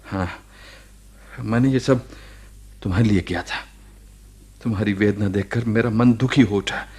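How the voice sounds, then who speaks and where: An actor playing a gentle ailing husband in a Hindi radio drama, speaking earnestly with pauses between phrases. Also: male voice, on the low side, husky. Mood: earnest, wounded.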